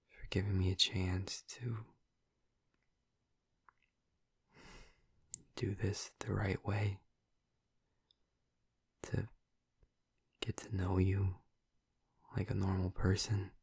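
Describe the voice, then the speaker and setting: A man playing a gentle, flirty, earnest vampire in an intimate audio roleplay, murmuring softly and hesitantly in a breathy, gravelly voice. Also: low voice, no room sound, exaggerated voice. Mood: tender, sincere. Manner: soft, hesitant, halting, reassuring.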